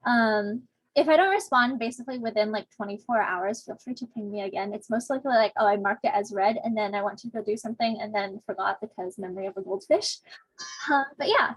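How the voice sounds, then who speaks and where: A woman's voice: medium pitch, animated, fairly quick, breathy edge, no room sound, rough recording. A young woman from Southern California, a teaching assistant casually opening an online discussion section over a video call, chatty, with lots of fillers and self-deprecating asides. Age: young adult.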